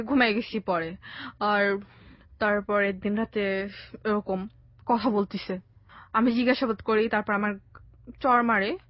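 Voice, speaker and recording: A young Bangladeshi woman with a fairly high voice recounts her own life as a guest. She talks in a halting, conversational way and drops in English words. The recording is dry and a little dull. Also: no room sound. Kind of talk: conversational personal storytelling. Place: radio studio. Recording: dry, slightly dull radio sound.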